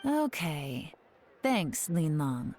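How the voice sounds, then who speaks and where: Video game casting: shrewd woman in charge, measured and authoritative, low-leaning register, clear music underneath. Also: big pitch swings, darkish tone, full character voice. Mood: smooth, probing. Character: cool, shrewd, composed woman investigator in charge. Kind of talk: scripted video game voice acting. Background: background music.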